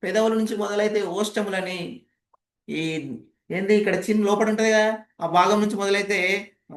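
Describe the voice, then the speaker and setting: A man leads an interactive training session as a speaking coach, explaining emphatically and putting rhetorical questions to participants. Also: sits high. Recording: echoey, roomy sound.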